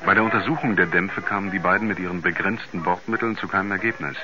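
A sober storyteller, played by a man with a low voice, reporting mysterious events in 1970s radio drama. The recording is slightly dull, with music behind. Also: fast, natural voice. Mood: factual. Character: sober male narrator, friend of an astronaut, reporting mysterious events. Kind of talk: scripted radio play narration.

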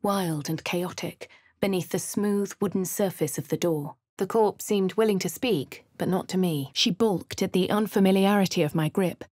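A woman with a posh English accent voicing a refined young adventurer, crisp and composed in game voice lines. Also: mid-range voice, moderate pace, darkish tone, no echo, studio quality, natural voice. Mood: narrating. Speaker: voice of a player character. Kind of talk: game voice lines, first-person narration.

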